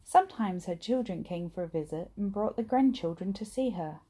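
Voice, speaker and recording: A woman reading a children's bedtime story aloud in an expressive, acted-out manner. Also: mid-range voice, fairly quick. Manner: animated, acts out dialogue and sound words. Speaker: storybook reader.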